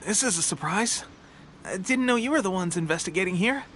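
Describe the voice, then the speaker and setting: A man's voice: sits high, animated, a little fast, heavy background noise. A man playing an earnest, friendly young soldier who sounds run-down, in video game dialogue. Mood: surprised, friendly. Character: earnest, friendly young male soldier, worn but dutiful.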